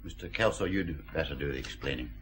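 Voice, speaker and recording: An actor playing a matter-of-fact police forensic superintendent in a radio detective drama. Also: male voice, very deep voice, fast talker, a bit bright.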